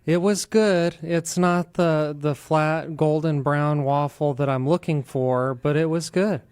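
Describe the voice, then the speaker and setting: A man's voice: on the high side, fairly even intonation, slow pace, a little mellow, clear, resonant tone, dry recording, studio quality. An American man hosting a talk radio show, explaining things to listeners. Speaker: radio show host, attorney.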